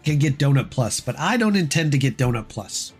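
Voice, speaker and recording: A man chatting casually on a gameplay livestream, rambling through game steps, his voice dry and hoarse as if he is losing it. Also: medium pitch, very animated, a little fast, soft background music. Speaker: game streamer.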